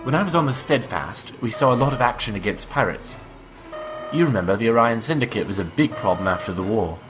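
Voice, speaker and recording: A man's voice: lowish voice, lively intonation, fairly quick, natural voice. A man playing an analytical, skeptical first officer of a starship in a fan audio drama, with music behind him. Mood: focused, explanatory.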